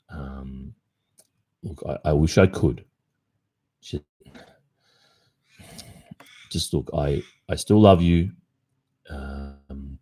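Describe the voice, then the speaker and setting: A man's voice: very deep voice, dark tone. An Australian man playing a panicked, apologetic cheating boyfriend in an ASMR roleplay, stammering and imploring. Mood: remorseful, pleading.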